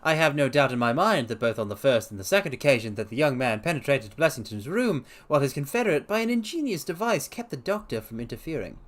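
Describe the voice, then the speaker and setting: A man voicing a self-assured gentleman detective in a storybook reading, brisk, precise and emphatic as he explains himself. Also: medium pitch, very animated, natural voice.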